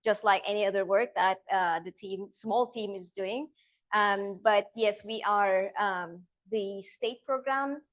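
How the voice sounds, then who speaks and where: A woman's voice: medium pitch, a bit bright. Turkish-accented woman giving a talk. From Turkey. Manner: earnest, explanatory, frequent "um" and "uh", slows down to stress points. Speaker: seabird conservation researcher. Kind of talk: public lecture.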